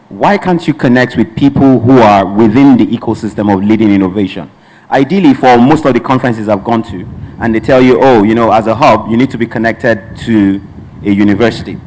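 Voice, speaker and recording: A man's voice: mid-range voice, darkish tone, poor audio. Nigerian man, conference panelist, earnest and rambling, mid-range voice. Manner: earnest, discursive, with restarts. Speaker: panelist, hub representative.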